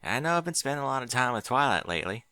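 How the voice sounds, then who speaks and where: A man's voice: mid-range voice, sing-song, very fast, pushed and bright, no room sound, clean studio sound, voice pushed up. A man voicing a friendly grown farm mare, a big sister who teases.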